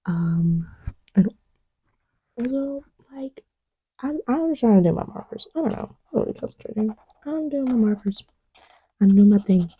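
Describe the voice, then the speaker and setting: A woman playing a playful, distracted young girlfriend in an intimate ASMR roleplay, murmuring in a halting, teasing way. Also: on the high side, animated, slightly rough, no echo, dull audio. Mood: absorbed, casual. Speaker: audio roleplay voice actor.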